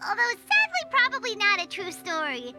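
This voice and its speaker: A woman voicing a small, excitable, cheeky fairy companion in a video game, squeaky and childlike, exclamatory and blunt. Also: normal speed, heavily acted. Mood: curious, chatty. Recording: game music over the voice.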